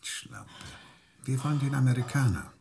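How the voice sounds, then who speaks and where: An actor playing a cold, contemptuous KGB enforcer in a radio drama, husky and gravelly, issuing clipped commands. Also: male voice, mid-range voice, darkish tone, slight background noise, lightly acted. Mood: cold menace. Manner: slow, commanding, clipped threats.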